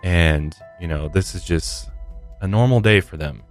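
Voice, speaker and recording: Deep-voiced American man, somber true crime host. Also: unhurried. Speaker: podcast host. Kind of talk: true crime storytelling, partly scripted narration with casual commentary. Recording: faint music behind.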